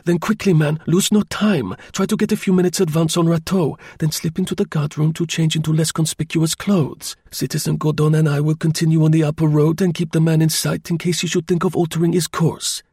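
A man's voice: fairly high pitch, level tone, fairly quick, hardly any pauses, darkish tone, slightly rough, no echo, pitched above the performer's own voice. A man voicing a masterful, sarcastic agent in command, curt, decisive and dry, in a dramatised storybook. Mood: urgent, decisive. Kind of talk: dramatised audiobook dialogue.

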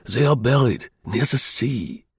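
A man voicing a podgy, aggrieved agent in an audiobook, with an emphatic, slow, pleading delivery. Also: medium pitch, expressive, slightly rough, no room sound. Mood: eager, scheming. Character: podgy, aggrieved, wheedling male German agent.

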